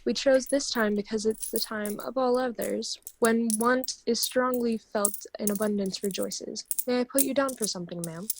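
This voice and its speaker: A woman's voice: on the high side, moderate pace, few pauses. A woman playing a polite, earnest charity collector in an amateur radio play, formal and courteous, recorded over a home video call.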